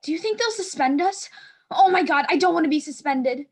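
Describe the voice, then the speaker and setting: Woman playing a high-voiced, fretful schoolgirl. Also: expressive, brisk. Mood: anxious, panicky. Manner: fretful, dramatic. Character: anxious, dramatic schoolgirl dreading punishment. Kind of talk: staged play reading.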